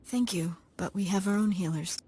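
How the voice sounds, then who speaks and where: The earnest young daughter of an alien matriarch, voiced by a woman. Her voice is husky and mid-pitched. She speaks politely and with quiet resolve. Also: moderate pace, natural voice. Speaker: leader's daughter.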